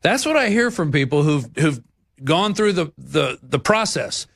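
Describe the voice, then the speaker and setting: A man hosts a call-in radio show in a voice a little higher than most men's. He sounds brisk, upbeat and conversational, keeping things moving as he brings callers on and answers them. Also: sing-song, creaky, fairly bright tone. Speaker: radio talk show host.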